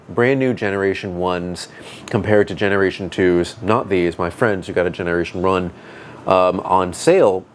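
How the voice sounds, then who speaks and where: Man with a booming low voice. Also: a little mellow, a little hiss or hum. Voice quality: booming deep voice. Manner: conversational, thinks aloud with fillers and restarts. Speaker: tech reviewer. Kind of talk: casual product review to camera. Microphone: dedicated microphone.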